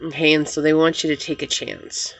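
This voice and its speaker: A woman with a lowish voice reads tarot cards straight to the viewer. She speaks gently and reassuringly, weaving in affirmations. A slight congestion from a lingering cold sits in her voice. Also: steady tone, a little fast, gravelly, poor audio. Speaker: tarot card reader. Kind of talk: pick-a-card tarot reading to camera.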